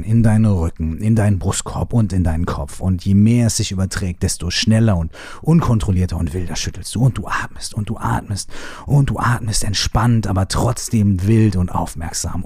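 A man with a very deep, husky voice talks a listener through a body-shaking exercise. His instructions repeat in a soft, half-whispered way, casual enough to slip in a curse. The sound is dry and close, with no room around it. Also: normal speed, dry recording. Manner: repetitive spoken instructions with casual swearing. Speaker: movement exercise guide. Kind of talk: guided shaking meditation.

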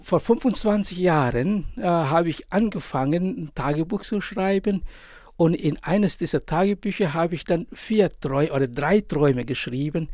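A man in his forties with an Indonesian accent tells his story in a slightly raised voice. He speaks haltingly, stopping often to hunt for words in a language that is not his own. The recording is dry, with no room sound. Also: fairly high pitch, darkish tone, dry recording. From Indonesia, island of Sumba. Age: 40s. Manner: halting, many pauses and fillers, searching for words. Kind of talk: interview answers.

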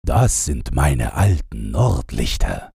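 A man's voice: rumbling low, very animated, takes it slowly, vocal fry, mellow, husky, dry recording, studio quality, voice pushed down, heavily acted. A man voicing an earnest talking reindeer in a fairytale audiobook. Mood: proud.

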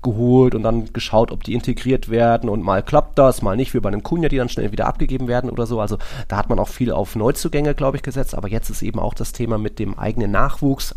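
Creaky-voiced man, casual football talk. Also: on the low side, a little mellow. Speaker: football podcast host.

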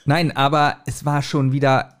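A man's voice: mid-range voice, level tone, moderate pace, smooth. A man of around forty chatting casually as the co-host of a podcast. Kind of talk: casual chat.

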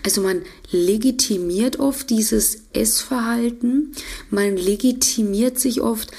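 German woman coach podcasting, bit hoarse, gravelly fry in her voice, chatty, keeps restarting sentences. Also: a little high, slow pace, darkish tone. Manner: chatty, explains with examples, often restarts sentences. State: slightly hoarse from a cold. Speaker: podcast host and intuitive eating coach. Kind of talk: casual solo podcast talk.

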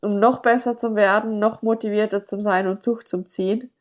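Woman, blocked-nose voice, rambling, self-correcting, mid pitch, podcast guest. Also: fairly even intonation, normal speed. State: congested, stuffy nose from a cold. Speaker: athlete guest. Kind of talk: casual podcast interview.

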